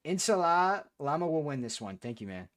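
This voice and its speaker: Animated, foul-mouthed man ranting. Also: fairly high pitch, moderate pace, dry recording, studio quality. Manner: profane, rambling, ranting. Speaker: gaming streamer. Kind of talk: casual rambling stream chat.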